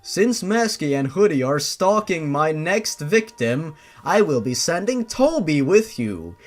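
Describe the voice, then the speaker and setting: A man voicing a calm, fatherly telepathic monster who speaks in a formal, gentle, courteous way for an audio story. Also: fairly high pitch, measured pace, soft background music. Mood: matter-of-fact.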